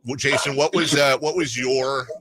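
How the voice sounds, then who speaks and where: A man's voice: medium pitch, fairly even intonation, normal speed, a crowd in the background. A man hosting a sports radio show, bantering casually in a jokey, rambling way. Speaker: radio talk show host.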